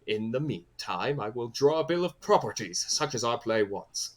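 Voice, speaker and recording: A man's voice: medium pitch, animated, normal speed, close to an everyday voice. A man playing a fussy, coaxing workman who directs amateur players, earnest and persuasive, in a comic Shakespearean audio play.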